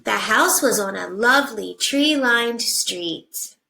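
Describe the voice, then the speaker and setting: A woman teacher reading a picture book aloud to young children, with simple deliberate phrasing and hushed asides. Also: on the high side, lively intonation, creaky. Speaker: first grade teacher.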